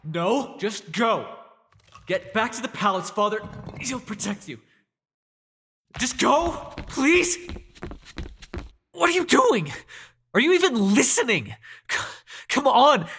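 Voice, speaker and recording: A frantic, protective young god, voiced by a man in an audio roleplay. He pleads urgently in a high, sharp, strained voice over faint background noise. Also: sing-song, takes it slowly, pauses often, heavily acted. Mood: urgent, desperate. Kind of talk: roleplay audio drama acting.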